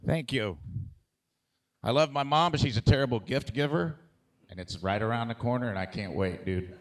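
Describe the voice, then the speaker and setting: A man's voice: medium pitch, normal speed. A man doing stand-up comedy, conversational and prodding the audience with crowd work.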